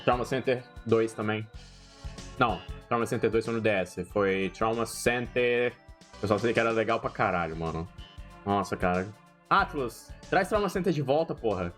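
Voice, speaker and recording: A man's voice: fairly low pitch, expressive, normal speed, fairly bright tone, soft background music. A young man with a Brazilian Portuguese accent, a streamer giving rambling, profane, slangy gaming commentary.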